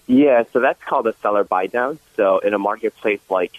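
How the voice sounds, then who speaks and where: A man calling into a radio show as a mortgage expert, explaining in a steady, agreeable way. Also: lowish voice, a little fast, no echo. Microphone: phone line. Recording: tinny phone line.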